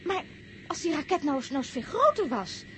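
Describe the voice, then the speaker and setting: A woman's voice: high voice, very animated, a little fast, a bit bright. An actress playing an inquisitive, naive-seeming young woman in a 1960s radio drama, coy and flustered, with hesitant, stammering delivery. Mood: coy, probing. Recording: noisy early-1960s radio recording.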